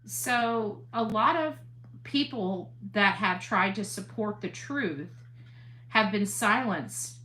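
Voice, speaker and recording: A woman speaking earnestly to an audience as a commentator, halting and emphatic, repeating phrases to press her point. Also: mid-range voice, animated, pushed and bright, a little hiss or hum, low-quality recording. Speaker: interviewee, online true crime commentator.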